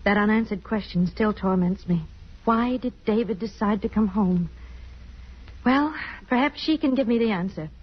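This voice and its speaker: Poised, wistful wife played by an actress, slightly husky. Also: female voice, mid-range voice, moderate pace, lightly acted. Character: composed, wistful estranged wife and mother. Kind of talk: 1950s radio drama acting. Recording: dull old radio recording.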